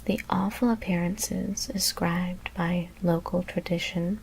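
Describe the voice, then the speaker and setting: A woman whispering a gothic horror story as ASMR narration, hushed and deliberate, with careful pauses. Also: on the low side, steady tone, creaky, slightly rough, low-quality recording.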